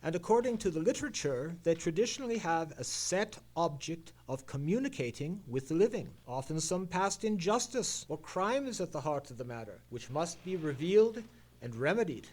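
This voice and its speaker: An actor playing a confident, formal Victorian gentleman detective in a live old-time radio mystery play, speaking precisely and theatrically. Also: on the high side, sing-song, normal speed. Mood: keen, wry.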